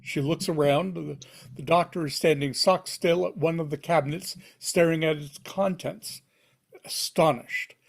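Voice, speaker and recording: A man narrates a screenplay for a table read. His voice sits a bit higher than average for a man. He delivers scene headings and action in short, punchy, dramatic phrases. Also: fairly even intonation, a little slow, vocal fry. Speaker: stage-direction reader at table read. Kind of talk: reading screenplay directions aloud.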